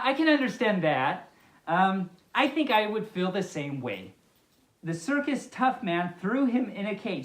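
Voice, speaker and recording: A woman reads a children's picture book in a lively, swooping voice. She keeps drifting into chatty, halting commentary of her own, playful throughout. The room rings with echo. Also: medium pitch. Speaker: storyteller, host. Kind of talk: reading a picture book aloud with casual asides. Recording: echoey, reverberant room sound.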